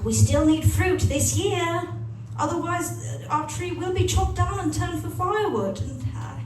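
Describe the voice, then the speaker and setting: A woman's voice: very high-pitched, a little slow, darkish tone, distant mic, voice pushed up. A woman voicing an earnest, polite and anxious young peasant boy in a fairy tale, hesitant and stammering as if pleading. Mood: worried. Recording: very echoey room with noise.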